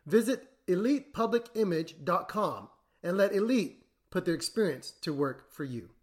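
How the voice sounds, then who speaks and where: A podcast host reading sponsor messages in a measured, announcer-like way. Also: mid-range voice, expressive. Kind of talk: scripted ad reads. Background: music bed.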